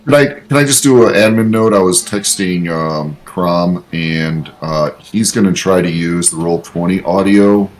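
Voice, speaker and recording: Low-voiced man in casual online chat. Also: measured pace, hardly any pauses. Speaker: tabletop game player. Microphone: online voice call.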